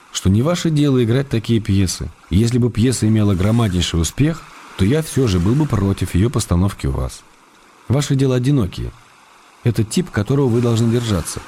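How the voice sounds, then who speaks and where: A man's voice: lowish voice, warm tone, natural voice. A man playing a stubborn but affectionate playwright in a radio play, reading his letters in a restrained, matter-of-fact way. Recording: faint noise and ambience behind.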